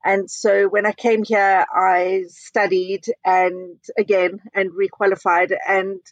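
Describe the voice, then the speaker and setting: A middle-aged woman from South Africa, a guest in a conversational interview, talking in a measured, thoughtful way with frequent ums and uhs. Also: mid-range voice, little pitch movement, no echo, lo-fi sound. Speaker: interview guest, communications consultant.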